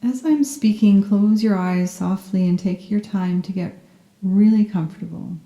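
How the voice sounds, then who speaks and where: A woman leads a guided relaxation as a meditation teacher. Her voice is very warm and dark, sitting at a medium pitch. She speaks softly and soothingly with gentle, unhurried phrasing, and the recording has a noticeably roomy echo. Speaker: yoga nidra meditation guide. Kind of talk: guided meditation, scripted narration.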